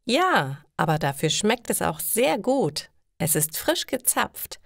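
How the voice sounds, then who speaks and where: A woman's voice: mid-range voice, big pitch swings, slow, creaky, no room sound, studio quality, full character voice. A woman voicing a friendly young woman from Bavaria in a story, cheerful and helpful.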